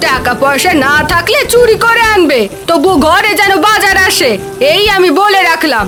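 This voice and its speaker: A woman's voice: very high-pitched, expressive, normal speed. A woman voicing a bossy, nagging village wife who scolds and threatens in a cartoon fairy tale. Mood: scolding, threatening. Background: music.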